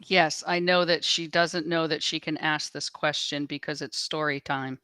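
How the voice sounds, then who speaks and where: A woman's voice: sits low, fairly even intonation, normal speed, bright, forceful tone, smooth, no echo. A woman assisting at a live talk, relaying questions from the audience.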